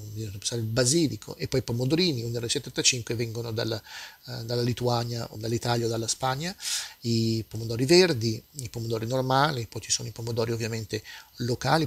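Gravelly husky-voiced Italian man. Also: mid-range voice, dry recording. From Italy. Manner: relaxed, hesitant with frequent fillers. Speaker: food vlogger. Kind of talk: casual walkthrough narration. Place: supermarket aisles.

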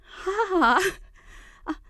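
An actress as a very high-voiced, flustered interviewer. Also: female voice, expressive, drawn-out words, fairly bright tone, no echo, full character voice. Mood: forced cheer. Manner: hesitant, deferential, nervous politeness. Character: polite, flustered woman interviewer, easily thrown. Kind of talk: comedic Japanese voice drama acting.

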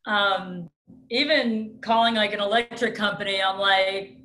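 A woman's voice: medium pitch, measured pace, sharp tone, slight background noise. A middle-aged woman from New York with a thick New York accent, a strength coach talking bluntly as a guest over a webcam call from a room at home. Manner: blunt, self-described alpha female. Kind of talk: casual interview talk. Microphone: webcam on video call. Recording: roomy video-call echo.

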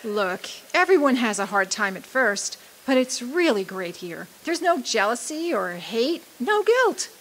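Woman acting a brash, sassy, lively outlaw woman. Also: a little high, unhurried, few pauses, full character voice, rough recording. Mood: breezy, welcoming. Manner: blunt, wisecracking. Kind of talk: stage radio drama acting.

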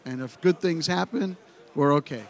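A man's voice: a little high, normal speed. Blunt Brooklyn man talking on stage. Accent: Brooklyn New York. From United States. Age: middle-aged. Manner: blunt, animated storyteller, full of "you know". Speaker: pinball company head giving a seminar. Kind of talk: informal conference talk. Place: dark expo hall stage. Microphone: handheld microphone.

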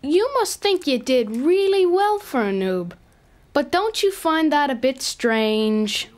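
A woman's voice: high voice, very animated, takes it slowly, darkish tone, heavily acted. A woman playing a smug young boy gamer in an audio drama, needling and mock-concerned. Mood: smug, taunting. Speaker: actor.

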